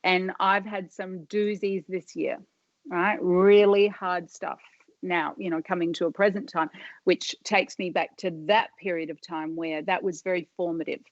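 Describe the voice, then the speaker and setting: A woman's voice: lowish voice, steady tone, a little slow, no room sound, lo-fi sound. A middle-aged woman telling life stories as a podcast guest, rambling and often cutting herself off with fillers. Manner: rambling, self-interrupting, many fillers. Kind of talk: casual interview storytelling.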